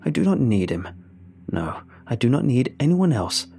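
A man's voice: mid-range voice, sing-song, normal speed, gravelly, dark tone. A man voicing a cruel old witch who hoards cats and sneers at her captive. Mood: gloating menace. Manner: hissing, contemptuous, calling people peasant. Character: cruel ancient witch, cat-hoarding hag.

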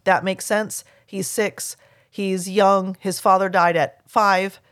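Low-voiced woman podcast host, dry sound. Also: flat delivery, slow pace, fairly bright tone, no room sound. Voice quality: low speaking voice. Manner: explanatory, earnest, reads listener emails aloud. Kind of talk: teaching podcast, answering a listener's email.

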